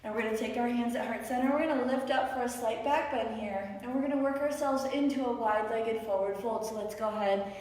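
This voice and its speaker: Raspy tired woman coaching yoga, upbeat and encouraging, far from the mic in a really echoey room. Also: fairly high pitch, steady tone, brisk, a little mellow. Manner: encouraging, upbeat and playful cues. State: tired from many classes. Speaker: yoga instructor. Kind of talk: guided yoga class instruction.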